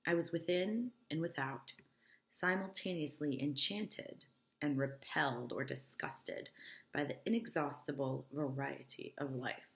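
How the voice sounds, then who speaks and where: A woman's voice: sits low, measured pace, fairly bright tone. A woman teacher reading a novel aloud and explaining it informally, often checking that listeners follow. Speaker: English teacher.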